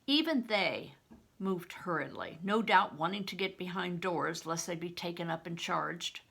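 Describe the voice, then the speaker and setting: A woman's voice: fairly low pitch, vocal fry, sharp tone. An older woman, a retired reading teacher, reading a story aloud with dramatic, emphatic expression.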